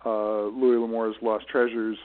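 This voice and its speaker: A man's voice: lowish voice, flat delivery, a little slow. Mature man on a tinny phone line. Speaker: interview guest, author and producer. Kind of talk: conversational interview answers.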